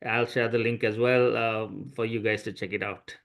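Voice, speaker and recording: A man's voice: lowish voice, little pitch movement, normal speed, creaky, a bit bright. A man who co-founded a startup gives a brief, polite introduction. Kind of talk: self-introduction. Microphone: video call.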